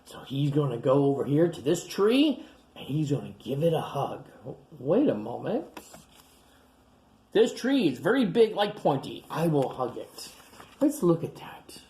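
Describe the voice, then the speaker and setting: Animated woman reading to kids. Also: low voice, a little slow. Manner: animated, gasping and exclaiming. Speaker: storyteller. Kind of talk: reading a picture book aloud to children.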